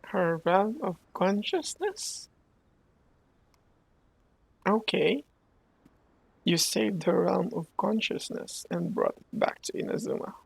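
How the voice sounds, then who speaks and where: Gravelly, husky woman gamer, close and dry. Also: mid-range voice, expressive, takes it slowly, pauses often, no room sound, low-quality recording. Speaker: gaming streamer. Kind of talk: casual reactions during gameplay.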